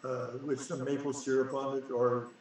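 A man's voice: medium pitch, little pitch movement, moderate pace, a little mellow, heavy reverb, distant mic, rough recording. A man in his nineties, a guest being interviewed, talking insistently and repeating himself, often stumbling over his words. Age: 90s. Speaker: guest, sprout grower inventor. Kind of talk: casual interview.

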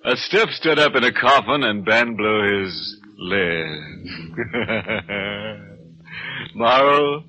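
Casting: male macabre radio host, lowish and very bright, sardonic and theatrical, vintage broadcast. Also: expressive, low-quality recording. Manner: theatrical, sardonic, drawn-out delivery. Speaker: mystery show host. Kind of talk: macabre, tongue-in-cheek 1940s radio hosting. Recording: old radio recording.

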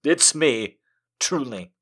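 A man's voice: mid-range voice, lively intonation, slow pace, a bit bright, slightly rough, voice pushed up, heavily acted. A man voicing an earnest, lovestruck young hero in a fantasy story, speaking with gallant melodrama. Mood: devoted. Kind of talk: dramatic reading of a fantasy story.

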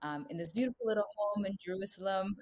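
A woman's voice: medium pitch, normal speed, a little mellow. A young woman from the United States, a brand co-founder talking earnestly in a casual interview, with frequent "you know" fillers. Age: young adult.

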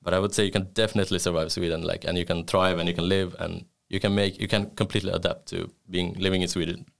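A man's voice: sits low, level tone, fast talker, vocal fry. A man in a casual group chat, talking loosely with lots of "like" and trailing off.

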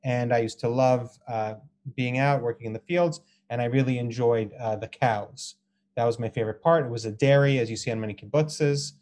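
An American man with a medium-pitched voice teaches a class online in an informal lecture style. His delivery is halting and hesitant, broken by frequent ums and pauses. The audio comes from a video call. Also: fairly even intonation, a little mellow. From Israel and Colorado, US.

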